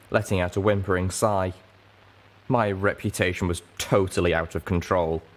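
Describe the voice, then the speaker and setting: A man narrating an audiobook as an earnest, self-doubting young mare, in a measured, deliberate way. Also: low voice, lightly acted. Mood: anguished, self-doubting. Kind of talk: scripted first-person audiobook narration.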